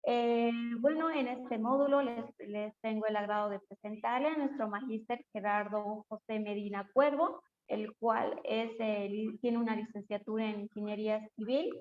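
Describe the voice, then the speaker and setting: A woman's voice: a little high, steady tone, normal speed, hardly any pauses, darkish tone, dull audio. A woman coordinating an online course, reading out schedule dates and a guest's résumé with frequent fillers. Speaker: course coordinator introducing the speaker. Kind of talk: reading a formal introduction.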